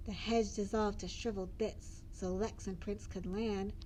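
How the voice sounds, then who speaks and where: Storytime reader: woman, expressive children's narration, unhurried and fairly high, noisy background. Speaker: children's librarian reading at story time.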